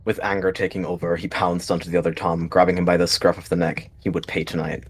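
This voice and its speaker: Casting: male roleplay narrator, very low register with a husky grain, dramatic storytelling. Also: little pitch movement, fast.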